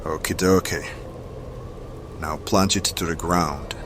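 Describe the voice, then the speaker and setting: An actor playing a solemn, darkly assured mystical mentor in a fantasy audio drama, speaking with measured, commanding gravity. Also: male voice, low-pitched, fairly even intonation, heavy background noise. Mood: commanding, intense. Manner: measured, portentous, incantatory. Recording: music under the voice.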